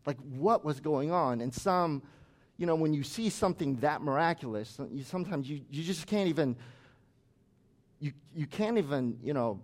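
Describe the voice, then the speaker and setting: A man's voice: on the high side, lively intonation, moderate pace. A man who grew up in the United States, preaching a sermon as pastor in a conversational way with chatty asides.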